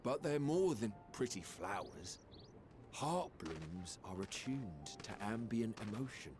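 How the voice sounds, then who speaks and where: A man voicing a calm scholarly character in a game cutscene, explaining things in a measured way. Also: on the low side, music in the background. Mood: patient.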